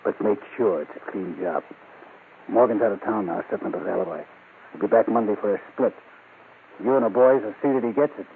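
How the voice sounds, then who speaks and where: A low-pitched American gangster boss talks tough and calculating, in slangy radio crime drama style. The sound is narrow and tinny, with clear background noise. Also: male voice, fairly quick. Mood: cold, scheming. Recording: noisy, tinny old radio recording.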